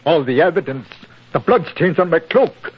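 An actor playing a fierce, tormented laird of an old Scottish castle, gruff and melodramatic, in 1940s radio style. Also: male voice, on the high side, lively intonation, moderate pace. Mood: anguished. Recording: dull 1940s radio recording.

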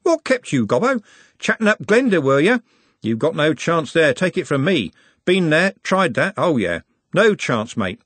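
A man plays a brash young working-class football fan in a storybook, pitched high and very animated. His talk is blunt, slangy and mocking, with a rough, husky edge, recorded close and dry. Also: normal speed, dry recording. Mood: teasing. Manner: slangy, blunt, matey banter.

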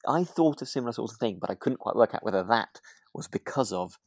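A man co-hosting a relaxed, casual chat in a thoughtful, musing way. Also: medium pitch, quick pace, gravelly, dry recording, studio quality. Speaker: podcast co-host.

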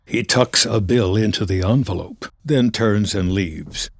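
A man narrating scripted drama action in measured, terse storytelling. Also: on the low side, dry recording.

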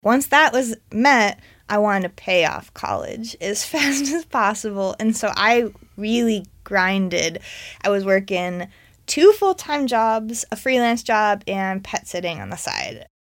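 A woman's voice: medium pitch, animated, slow, no room sound, clean studio sound. A woman in her twenties, an interview guest, talking casually and unhurriedly about her goals. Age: 20s. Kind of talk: casual conversation.

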